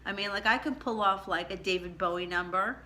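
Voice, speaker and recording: A middle-aged woman with a low contralto voice telling a candid personal story in a chatty way, laughing often. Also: brisk, pushed and bright. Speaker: vlogger.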